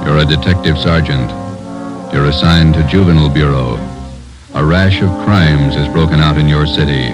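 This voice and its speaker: A man giving grave, deliberate 1940s radio narration. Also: a bass voice. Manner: solemn, deliberate. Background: brass theme music.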